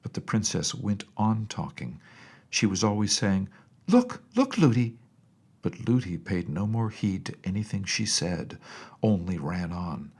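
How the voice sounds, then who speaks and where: A male narrator reads a storybook in a measured, expressive manner. His voice is low and husky, with plenty of creak in it. He is telling a scripted tale as an audiobook.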